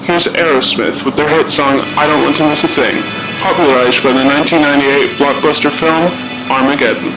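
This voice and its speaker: A man's voice: on the high side, steady tone, fairly quick, music in the background, natural voice. A man playing a wisecracking radio DJ with breezy, jokey patter in a doomsday audio drama. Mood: wry, breezy. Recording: noisy radio broadcast.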